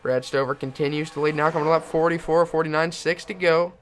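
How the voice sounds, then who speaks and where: A young man giving excited play-by-play commentary on a video game car race and hosting his channel, getting hoarse as he goes. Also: fairly high pitch, fast, slight background noise. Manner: builds to shouting excitement at the finish.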